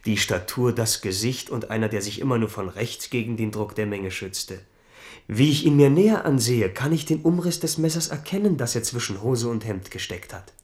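A man's voice: mid-range voice, animated, normal speed, darkish tone, close to an everyday voice. An actor playing a watchful, blunt servant of a king in a German radio drama. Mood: urgent reporting.

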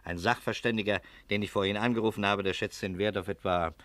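An actor playing a shrewd English private detective in a German radio crime drama, politely probing and deliberate with gentle irony. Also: male voice, on the low side, fairly even intonation, natural voice. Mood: calmly accusing.

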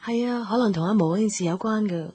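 A woman's voice: mid-range voice, quick pace, warm tone, breathy edge, natural voice. A woman acting as a matter-of-fact young colleague in a radio drama, with a Hong Kong Cantonese accent.

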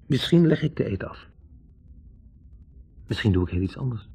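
An actor playing a wavering, introspective young medical student, hesitantly searching for words in a 1960s radio drama. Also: male voice, medium pitch, very animated, moderate pace, vocal fry, warm tone, slightly rough, soft background music, exaggerated voice. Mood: hesitant, reflective. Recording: dull, band-limited 1960s radio recording.

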